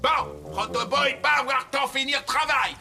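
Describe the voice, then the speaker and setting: An actor playing a menacing robot big brother in a French cartoon dub, speaking in broken, clipped grammar. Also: male voice, extremely high pitch, normal speed, vocal fry, sharp tone, soft background music, exaggerated voice. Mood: sheepish, halting. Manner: broken speech in bare infinitives.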